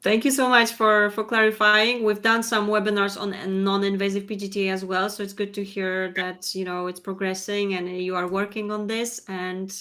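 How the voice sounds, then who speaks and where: A woman's voice: medium pitch, level tone, normal speed, few pauses, bright, forceful tone. A woman moderates a webinar over a video call. She is courteous and keeps thanking her guest and the viewers, often agreeing with a ready "of course". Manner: polite, thankful, with frequent "of course" and "thank you so much". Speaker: webinar host.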